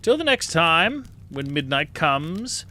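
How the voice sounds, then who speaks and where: A man's voice: on the high side, big pitch swings, slow, pushed and bright, heavily acted. A man voicing a pampered, urbane house cat who hosts midnight tales in a confiding, pompous, theatrical way. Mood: smug, confiding. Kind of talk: theatrical storytelling host narration.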